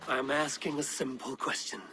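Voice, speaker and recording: An actor playing a bold, blunt Viking warrior in a dramatic game cutscene, speaking in a direct, terse way. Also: male voice, medium pitch, little pitch movement, moderate pace, pushed and bright, lightly acted. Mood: firm, persuasive.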